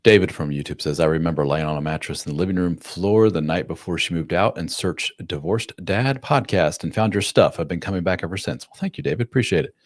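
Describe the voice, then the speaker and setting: A man nearing fifty talks through viewer questions as a relationship coach, loose and conversational. His voice sits very low, and the sound is close and dry, with almost no room around it. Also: fairly quick, few pauses, no room sound. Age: 40s. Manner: casual, plain-spoken, acts out quotes in a raised voice. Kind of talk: live-stream Q&A.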